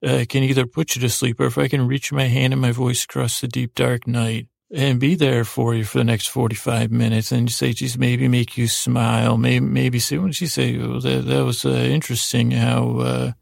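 A man with a gravelly voice hosting a sleep podcast, giving a soothing, rambling bedtime monologue that halts, repeats and wanders off mid-thought. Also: medium pitch, flat delivery, no room sound, clean studio sound. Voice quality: gravelly, creaky. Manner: halting, meandering, repeats and trails off mid-thought.

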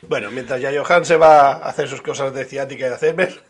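A man's voice: medium pitch, fast, slight background noise. A man co-hosting a podcast, teasing his partner and laughing often. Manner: teasing, laughs a lot, swears. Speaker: podcast co-host. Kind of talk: casual banter.

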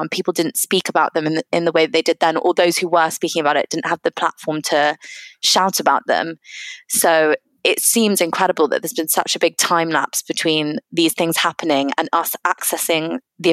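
A woman's voice: sits low, a bit bright, no echo. A young British woman hosting a podcast, chatting casually and emphatically with her guest. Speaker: podcast host. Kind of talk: casual conversational interviewing.

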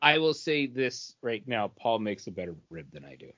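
A man's voice: lowish voice, sing-song, normal speed, sharp tone, no room sound. Guy cohosting a podcast, just chatting, close dry sound, kinda lo-fi. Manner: frequent throat clearing. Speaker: podcast co-host. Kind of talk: casual chat. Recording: dull, dry lo-fi podcast audio.

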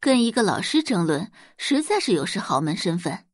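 Female story narrator, dramatic read with wide pitch movement, slightly husky, close dry booth sound. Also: big pitch swings, normal speed, no echo. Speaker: audiobook narrator.

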